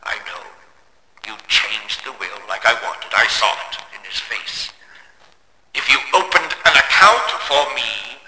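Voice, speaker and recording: An actor playing a sinister, gloating villain, an evil alter ego who taunts and hisses into whispers in an audio drama. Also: male voice, mid-range voice, sing-song, unhurried, bright, forceful tone, full character voice. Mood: gloating menace. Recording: long echoing reverb, cavernous.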